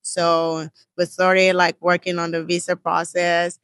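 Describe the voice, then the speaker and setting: Bright-voiced young Nigerian woman. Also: on the low side, unhurried, clean tone, dry recording. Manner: chatty, many "like" fillers, animated retelling. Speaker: guest. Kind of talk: casual storytelling in conversation. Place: indoor room in New York.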